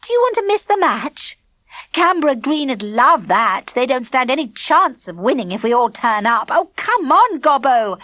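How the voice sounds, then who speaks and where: A woman's voice: high voice, lively intonation, a little fast, few pauses, a bit bright, no echo, voice pushed up. A woman voicing a bossy, cricket-mad schoolgirl who talks in a bouncy, boastful, teasing way. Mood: pushy.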